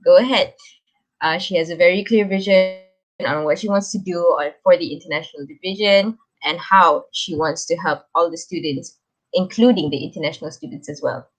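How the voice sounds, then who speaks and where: A woman's voice: lowish voice, brisk, low-quality recording. A woman moderating a student election session, giving brief, formal introductions of the candidates. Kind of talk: event emceeing.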